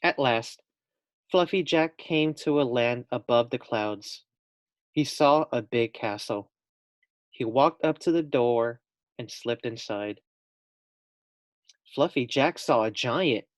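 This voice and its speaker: Slow-paced man reading a storybook aloud. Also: mid-range voice, dry recording. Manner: slow, simple phrasing, addresses children directly. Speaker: teacher reading aloud to first graders. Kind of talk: expressive storybook read-aloud with casual asides to young listeners.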